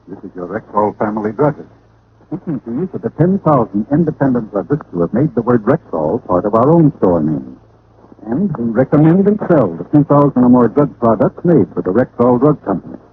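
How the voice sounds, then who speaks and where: A man's voice: medium pitch, sing-song, a little fast, lightly acted. An actor playing an earnest, friendly male family druggist in a vintage radio commercial, with emphatic salesmanship. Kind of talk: 1940s-50s radio commercial. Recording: noisy, phone-like old radio recording.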